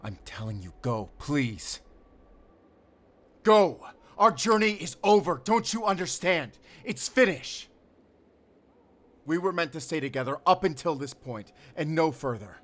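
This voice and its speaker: An actor playing a desperate, defiant werewolf hunter in an audio drama, intense and commanding, with a pleading edge. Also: male voice, a little high, lively intonation, measured pace, fairly bright tone. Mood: grim urgency. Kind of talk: cinematic audio roleplay acting.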